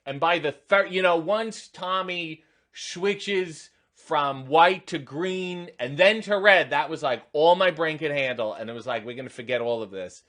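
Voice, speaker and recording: A man's voice: fairly high pitch, measured pace, hardly any pauses, a bit bright. A man hosting a reaction video, giving unscripted running commentary in an exasperated, sarcastic, incredulous way.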